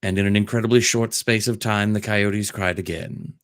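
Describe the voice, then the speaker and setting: A man's voice: on the low side, fairly even intonation, moderate pace, fairly bright tone, no echo, studio quality. A man hosting a comedic dramatic reading of a storybook, in a jokey manner with asides. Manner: jokey, breaking off for ad-libs and laughter. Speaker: host doing a dramatic reading.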